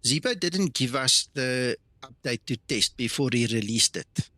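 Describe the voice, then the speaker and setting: Male streamer, non-native English speaker; bright, dry delivery; casual chat with viewers. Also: mid-range voice, animated, unhurried, dry recording. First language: not English. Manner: casual and chatty, greets viewers by name, many fillers and restarts. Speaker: flight sim streamer. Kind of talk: live stream chat, reading and answering viewer comments.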